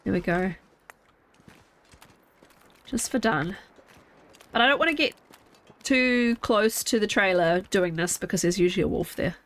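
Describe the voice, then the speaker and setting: A woman's voice: medium pitch, measured pace, pauses often, fairly bright tone. A young woman streamer with a congested, stuffy nose, chatting casually and thinking aloud as she plays a game from home. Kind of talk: casual live gameplay chat.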